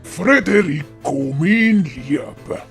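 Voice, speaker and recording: A woman with a Swiss German accent voicing a scheming, wheedling older great-uncle in an audio story, gravelly and oily. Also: lowish voice, expressive, slow pace, soft background music, voice pushed down. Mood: smooth, patronizing.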